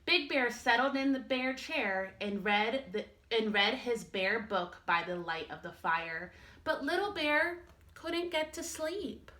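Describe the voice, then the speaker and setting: A woman with a bright, slightly high voice reads to young children like a primary school teacher. Her pitch moves up and down a great deal. The delivery is expressive storybook narration aimed straight at the listeners. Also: slow pace. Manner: expressive, addressing children directly. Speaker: first-grade teacher.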